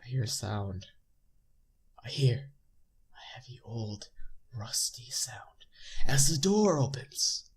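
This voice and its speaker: Stuffy-nosed man with lively, swooping intonation. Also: medium pitch, slow, pauses often, husky. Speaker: let's play narrator. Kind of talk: reading visual novel narration aloud with casual commentary. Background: game music.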